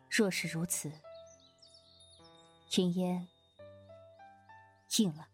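Actress as a bantering, wistful Taoist swordswoman, unhurried. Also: lowish voice, steady tone, over music. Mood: wistful, gallant. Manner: hesitant, roundabout, bantering. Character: easygoing Taoist swordswoman, apologetic, playful, secretly lovelorn. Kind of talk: Chinese wuxia audio drama acting.

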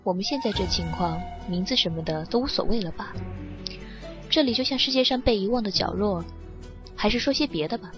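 An actress playing a nonchalant young woman adrift at sea in an audio drama, teasing with languid, deadpan frankness. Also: medium pitch, a little fast, over music, close to an everyday voice. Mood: cool, amused.

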